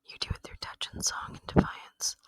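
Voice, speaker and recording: A woman voicing a gentle, lonely female alien in an ASMR roleplay, whispering tenderly and intimately in a breathy voice, close to the microphone. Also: lightly acted. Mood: earnest, hopeful. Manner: slow, tender, intimate, earnest. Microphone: close microphone, dry.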